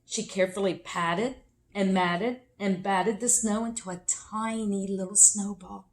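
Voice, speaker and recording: A woman reading a picture book aloud expressively for children, with deliberate emphasis. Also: medium pitch, fairly even intonation. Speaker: children's story reader.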